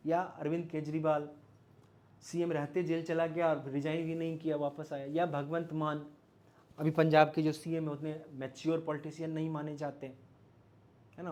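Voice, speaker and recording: Man, Indian accent, slightly high voice, teacher, informal lecture, rhetorical questions, check-in tags. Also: darkish tone. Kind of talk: informal explanatory lecture mixing Hindi and English.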